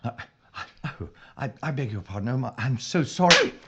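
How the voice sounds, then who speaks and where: An actor playing a brusque, dogged London police inspector in a period audio drama, British, with a clipped, commanding yet formally polite manner. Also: male voice, medium pitch, animated, moderate pace, heavy background noise, close to an everyday voice. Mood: flustered.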